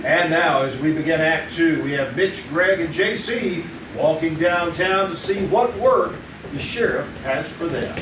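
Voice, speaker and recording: Old-time radio announcer, played by a man, salesy; a bit high, far off and echoey. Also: measured pace. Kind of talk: vintage radio announcing and ad read. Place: small theater stage, live. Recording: echoey stage from far off, noisy.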